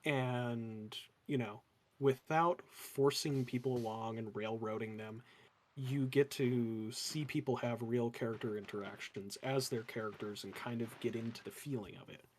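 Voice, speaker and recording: A man with a monotone voice, chatting casually about running tabletop games as a dungeon master. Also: mid-range voice, measured pace, slight background noise. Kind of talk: casual chat.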